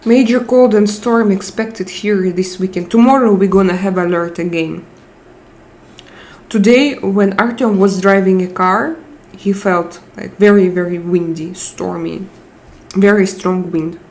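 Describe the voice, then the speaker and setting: A woman with a Russian accent hosts a relaxed live chat, reading viewer comments aloud. She speaks slowly and hesitates often as she looks for words in English. Her voice sits at an ordinary middle pitch. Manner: slow, searching for English words with frequent hesitations.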